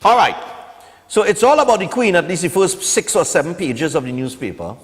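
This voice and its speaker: A Trinidadian man in his forties with a slightly high-set voice talks like a morning TV host, casual and opinionated, tagging his points with small checks to the listener. His voice rings with long reverb, as if in a hall. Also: lively intonation, fairly quick. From Trinidad and Tobago. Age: 40s. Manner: conversational, opinionated, peppered with "eh" and "you know". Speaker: morning television show host. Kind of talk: casual live talk and newspaper review.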